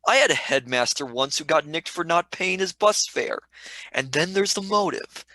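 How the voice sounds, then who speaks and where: A man plays a flippant young sidekick in a radio play. His voice is bright and sharp, recorded dry. He argues in colloquial wisecracks. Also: a little high, expressive, normal speed, no room sound. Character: flippant, cheeky, argumentative young companion. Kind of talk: radio play script reading.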